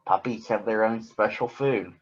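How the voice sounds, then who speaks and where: A man's voice: on the low side, moderate pace, muffled recording, close to an everyday voice. A man voicing a sensible, helpful little girl from a children's storybook, matter-of-fact and instructive. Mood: sensible, concerned.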